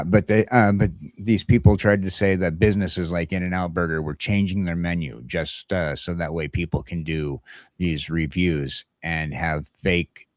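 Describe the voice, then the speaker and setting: A man with a very low voice hosts a laid-back morning talk show. He speaks casually and haltingly, circling back to repeat his strong opinions. The sound is close and very dry. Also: dry recording.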